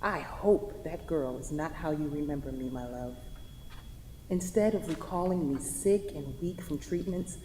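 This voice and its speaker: Woman acting a low-voiced, tender late wife. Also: unhurried, warm tone. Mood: tender, solemn. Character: gentle, loving late wife, reassuring and tender. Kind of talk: staged play reading. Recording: noisy, echoey hall with long reverb.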